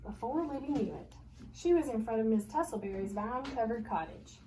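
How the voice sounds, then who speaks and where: High-voiced woman reading a storybook aloud. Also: lively intonation, fairly quick, dark tone. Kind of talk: expressive storybook read-aloud. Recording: noisy, distant microphone.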